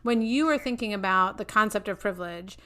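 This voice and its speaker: A woman's voice: mid-range voice, brisk, fairly bright tone. A middle-aged woman hosting a podcast, asking earnest, thoughtful questions in a conversational interview. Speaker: podcast host.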